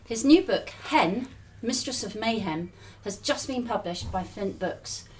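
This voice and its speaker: A woman's voice: medium pitch, lively intonation, unhurried. A woman hosting an interview, giving a scripted introduction and putting questions to her guest. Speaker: podcast interviewer. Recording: distant mic with faint background noise.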